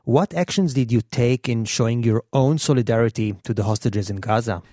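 A man interviews a guest in a radio studio, measured and attentive. His tone is mellow and dark. The sound is close and dry. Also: medium pitch, no echo.

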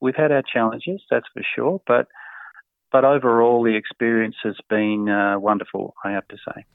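A middle-aged man from Australia answering interview questions in a casual, hesitant way, with many fillers and soft chuckles. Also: mid-range voice, fairly even intonation, normal speed, vocal fry, no room sound. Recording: tinny phone-like line, dry.

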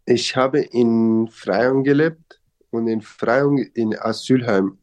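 Mann mit syrisch-arabischem Akzent. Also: male voice, medium pitch, steady tone, takes it slowly, a little mellow. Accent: Syrian Arabic. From Syria. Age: young adult. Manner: non-native German with grammar slips and hesitations. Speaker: interviewee. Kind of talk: casual interview.

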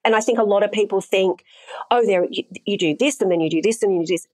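A woman's voice: medium pitch, fast talker, mellow. A middle-aged woman answering interview questions as an intimacy coordinator, explaining things thoughtfully with frequent fillers.

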